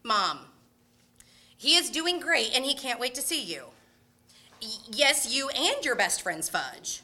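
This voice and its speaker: A woman's voice: high-pitched, big pitch swings, takes it slowly, pushed and bright, heavily acted. A woman playing a flustered, forgetful mother, exasperated yet placating, in an amateur Christmas radio skit. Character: flustered, forgetful mother on a family road trip. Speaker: radio play actor.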